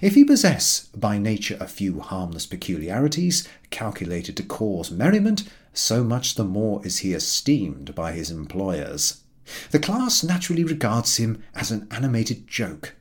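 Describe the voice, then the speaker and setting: Guy reading an old comic book aloud, lowish voice, really animated pitch, formal and measured. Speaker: audiobook narrator. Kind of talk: scripted narration of a comic literary story.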